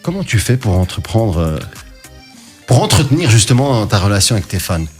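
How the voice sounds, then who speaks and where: A man's voice: on the low side, sing-song, a little fast, soft background music. A man with a Belgian French accent hosting a radio interview, teasing his guest and stumbling through fillers and restarts. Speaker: radio show host.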